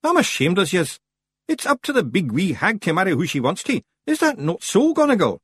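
A high, swooping Scottish voice for a pint-sized clan chief. He is bossy and boastful, hectoring his troops in emphatic storybook speeches. The sound is close and dry. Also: huge pitch swings, fairly quick, a bit bright, breathy edge, dry recording, exaggerated voice. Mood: exasperated.

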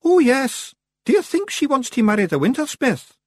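A man's voice: very high voice, very animated, normal speed, no echo, pitched above the performer's own voice, exaggerated voice. A man voicing a small, anxious Feegle bard in an audiobook. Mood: dreamy.

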